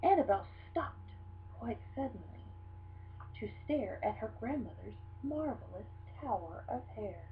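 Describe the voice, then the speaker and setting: Animated woman reading a storybook aloud. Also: medium pitch, unhurried. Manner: animated, dramatic storytelling delivery. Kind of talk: expressive children's picture book read-aloud. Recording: dull lo-fi sound with hum.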